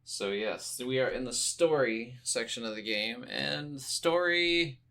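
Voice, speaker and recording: A man's voice: medium pitch, measured pace, sharp tone. A man co-hosting a podcast, chatting informally about video games and swearing freely. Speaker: podcast co-host. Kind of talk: casual video game review chat.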